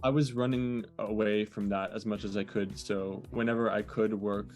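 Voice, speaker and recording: Young male interview guest, low-pitched, halting, on a video call. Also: level tone, darkish tone, soft background music. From born in the US, raised in France. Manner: hesitant, many fillers and restarts. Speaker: guest, digital nomad. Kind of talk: casual interview chat.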